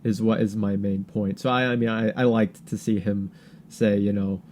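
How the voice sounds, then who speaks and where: A man co-hosting a podcast in casual political chat, halting and repeating words as he searches for his thoughts. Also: on the low side, level tone, a little mellow, a little hiss or hum. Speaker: podcast co-host.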